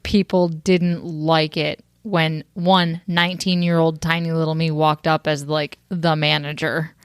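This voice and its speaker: Chatty woman with a low voice. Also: level tone, a little slow, smooth, no echo, clean studio sound. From Michigan, US. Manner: chatty, swearing, laughs often. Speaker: podcast co-host. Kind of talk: casual chat.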